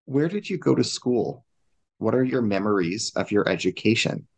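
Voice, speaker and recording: A man's voice: lowish voice, big pitch swings, normal speed, husky. A man interviewing, asking brief plain questions.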